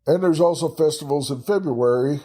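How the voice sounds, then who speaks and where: Folksy Texan man, dark husky voice. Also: fairly high pitch, fairly quick. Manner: folksy, chatty, self-deprecating asides. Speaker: travel podcast host. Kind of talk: casual solo travel talk.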